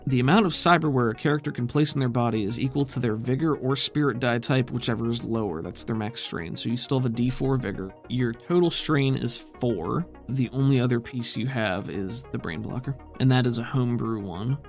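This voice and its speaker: Relaxed man explaining game rules. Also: mid-range voice, brisk, hardly any pauses, faint music behind. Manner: casual, thinks aloud, swears freely. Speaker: game master.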